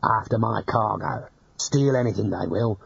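A man voicing a gruff, laconic outback trucker who drawls low and grumbling. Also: normal speed, no room sound, dull audio, exaggerated voice.

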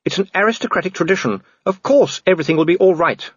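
Man voicing a composed, high-pitched aristocratic wife. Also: expressive, quick pace, breathy edge, dry recording, highs cut off. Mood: calm, reassuring.

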